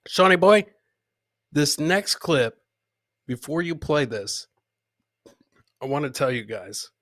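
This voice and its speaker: A man's voice: mid-range voice, fairly bright tone, no room sound, clean studio sound. A middle-aged man hosting a comedy podcast, chatting casually and swearing, repeating phrases for emphasis and playing to his audience like a showman. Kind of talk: casual live-stream comedy chat.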